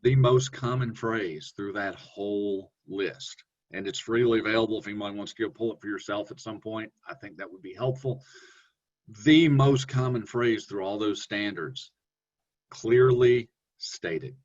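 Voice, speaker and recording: Man, deep voice, relaxed webinar presenter, lo-fi call audio. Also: a little slow. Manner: relaxed, conversational, with fillers and self-corrections. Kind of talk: presenting an online teaching webinar.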